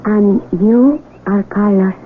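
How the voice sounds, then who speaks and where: A woman's voice: mid-range voice, takes it slowly, phone-quality sound, lightly acted. An actress playing a proud, innocent young village woman in a radio drama, with earnest, simple, slightly formal phrasing. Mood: gentle, curious. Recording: thin, hissy old radio recording.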